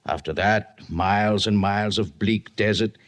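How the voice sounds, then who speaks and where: An actor playing an earnest, weary leader of a besieged jungle outpost in an old-time radio adventure, with formal, measured phrasing. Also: male voice, on the low side, steady tone, close to an everyday voice. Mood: grave, worried.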